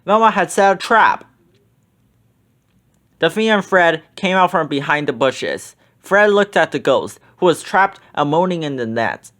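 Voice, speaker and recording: Animated man reading a kids' storybook. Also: on the high side, a little slow. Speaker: children's book reader. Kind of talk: storybook read-aloud narration.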